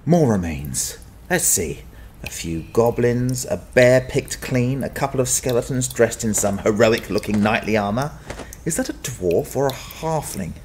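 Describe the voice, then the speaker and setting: An actor playing a hapless, wry adventurer who mutters and stammers in a dramatized fantasy audiobook. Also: male voice, medium pitch, animated, unhurried, a little hiss or hum, close to an everyday voice. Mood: grumbling, wary. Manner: muttering to himself, stammering, sardonic.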